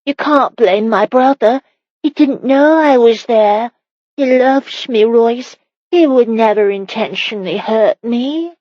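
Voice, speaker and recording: An anxious young wife from a medieval romance audiobook as a woman narrator acts her. Her voice is husky and breathy, with pleading, drawn-out emotional delivery. The recording is close, dry and slightly dull. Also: fairly high pitch, dry recording, pitched above the performer's own voice. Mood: tender, pleading.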